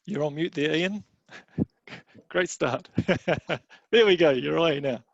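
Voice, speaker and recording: A man's voice: a little high, slow pace, creaky, no room sound, dull audio. A man moderating an online panel webinar, giving friendly, practical instructions with the odd filler.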